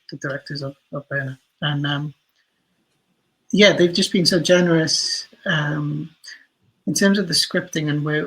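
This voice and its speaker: A man with a slightly high, husky voice speaks as a guest in a reflective interview. His intonation stays fairly even, and he feels his way through thoughts, often correcting his wording. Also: low-quality recording. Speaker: interview guest, audio drama writer and creator.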